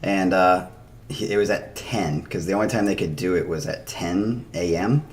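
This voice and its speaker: A hoarse man with a very low voice talks casually to his stream viewers, rambling and chuckling between thoughts. He speaks into a desk microphone, with a faint hum of room noise behind him. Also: moderate pace. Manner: rambling, chatty, laughs often. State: hoarse after a night of drinking. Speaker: streamer reacting to music. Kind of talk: casual chat to a live stream.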